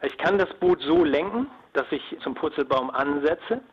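A man's voice: on the high side, animated, normal speed, breathy edge. A man explaining things as an expert guest in a phone interview. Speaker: expert guest, submarine engineer and former pilot. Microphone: telephone. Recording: dull band-limited phone line.

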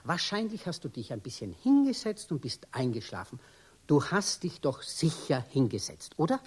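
An actor playing a sceptical older mechanic in a children's radio play, patronizing and teasing, with a husky voice. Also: male voice, a little high, wildly swooping pitch, normal speed, full character voice.

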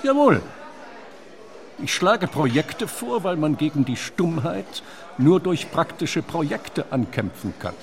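An animated, erudite opera theorist. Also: male voice, a little high, slow pace, creaky, heavily acted. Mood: earnest, didactic. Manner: erudite, formal, emphatic. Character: earnest male opera theorist pitching imaginary operas. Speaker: interviewed author. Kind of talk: radio play interview.

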